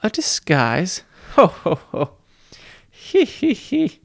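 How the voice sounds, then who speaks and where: A man's voice: high-pitched, huge pitch swings, slow pace, gravelly, dry recording, clean studio sound, voice pushed up, full character voice. A man voicing a sly, villainous gym coach in disguise, falsely jolly, for a children's audiobook.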